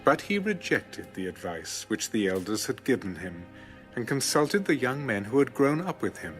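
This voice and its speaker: A man narrating scripture as a scripted drama, in solemn, measured storytelling. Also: mid-range voice, very animated. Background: background music.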